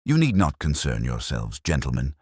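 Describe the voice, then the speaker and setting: A man voicing a frail, proud old country magistrate in an audiobook, raspy, evasive and formally dismissive. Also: a bass voice, huge pitch swings, normal speed, a little mellow, no echo, clean studio sound, exaggerated voice. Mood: feeble, dismissive. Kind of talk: audiobook character dialogue.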